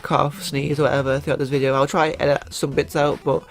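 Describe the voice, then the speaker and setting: Stuffed-up man with a gravelly voice. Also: fairly high pitch, flat delivery, quick pace. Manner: rambling, many fillers and restarts. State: stuffed up with a cold. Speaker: YouTuber. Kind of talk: casual gaming commentary.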